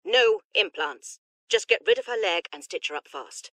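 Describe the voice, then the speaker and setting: A woman's voice: mid-range voice, animated, moderate pace, fairly bright tone, dry recording. A woman playing a hardened, curt battlefield chief medic in a video game audio drama. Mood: curt, impatient. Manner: curt, commanding.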